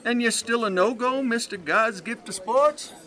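An actor playing a jovial, needling, hard-drinking 1920s sportswriter in an audio drama, breezy and teasing. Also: male voice, extremely high pitch, moderate pace, pushed and bright. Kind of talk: period audio drama acting.

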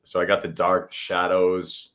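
American guy co-host, kinda low voice, joking around and poking fun at himself, just casual chat. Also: completely flat delivery, unhurried, a bit bright. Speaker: talk show co-host.

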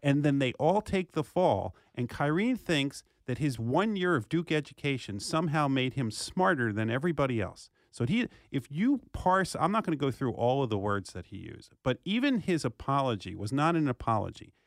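Male sports talk co-host, mid-pitched and closely recorded dry, opinionated and argumentative, hammers points through repetition. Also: sing-song, normal speed, few pauses, dry recording. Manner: argumentative, emphatic, repeats phrases for emphasis.

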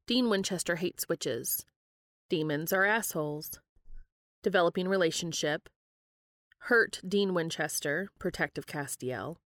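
A woman with a slightly low voice reads fan fiction as a podcast host and narrator. The recording is dry and close, with faint music around it. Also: no room sound.

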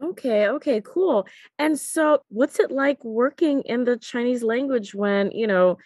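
Woman podcast host, slightly high voice, chatty and informal interviewing, close dry sound. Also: unhurried, a little mellow, no echo. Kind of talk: casual conversational interview.

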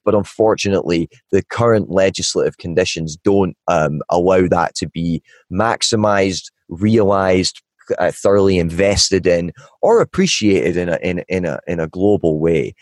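Deep-voiced Scottish Highland man. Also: moderate pace, no echo. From Scotland. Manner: rambling, many restarts and fillers. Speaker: guest, nightlife advocate. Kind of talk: casual podcast interview.

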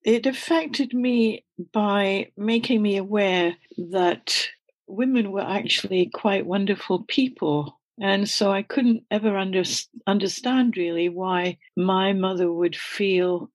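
A woman's voice: medium pitch, takes it slowly, no echo. An older woman from Scotland, answering interview questions in a candid, reflective way and laughing often as she talks. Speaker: guest.

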